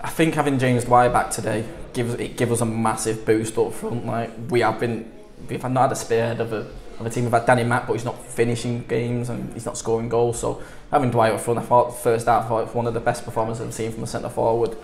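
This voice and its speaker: A man's voice: medium pitch, flat delivery, a little fast, vocal fry, few pauses, a little mellow, reverberant. A man, a team captain talking plainly in a post-match interview and leaning on "you know" and "I think". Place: small indoor club room.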